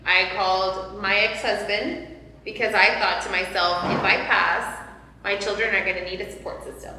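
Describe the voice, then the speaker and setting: A woman's voice: mid-range voice, steady tone, normal speed, background noise. A woman in her forties, a panel guest, earnestly retelling a personal story with many fillers. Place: large hall, seated on a sofa. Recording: echoey hall, light ambience.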